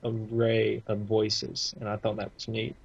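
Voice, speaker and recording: A man's voice: on the low side, monotone, unhurried, vocal fry, breathy edge. A man chatting casually as a podcast guest over a lo-fi voice call. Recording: dull, lo-fi voice call.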